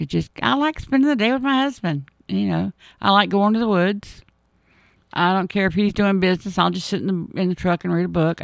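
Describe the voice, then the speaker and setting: A hoarse middle-aged woman hosting a radio show, chatting in a rambling, homespun way with many fillers. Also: lowish voice, dry recording, studio quality. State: hoarse, froggy voice. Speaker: radio host. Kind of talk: casual on-air chat.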